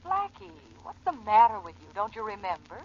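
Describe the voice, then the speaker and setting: A woman's voice: on the high side, big pitch swings, brisk, a little mellow. Chatty woman in muffled old radio. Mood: puzzled, impatient. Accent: American. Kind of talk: 1940s radio detective acting. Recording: dull 1940s radio broadcast recording.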